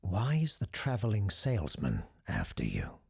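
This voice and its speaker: Actor as a low, husky, cautious radio host. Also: male voice, huge pitch swings, normal speed, heavily acted. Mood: thoughtful, wary. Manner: hesitant, earnest. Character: cautious, learned radio show host, a doctor. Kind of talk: audio drama acting.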